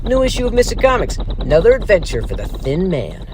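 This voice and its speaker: An actor playing an eager, boyish young soldier sidekick in an audio drama. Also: male voice, high-pitched, huge pitch swings, fairly quick, background noise. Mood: boyish interest.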